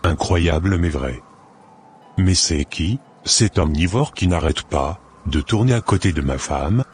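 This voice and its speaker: A jealous spirit husband from the beyond played by a man, low-voiced and threatening. The lines are scripted cartoon villainy with music underneath. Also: expressive, measured pace, darkish tone. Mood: jealous, menacing.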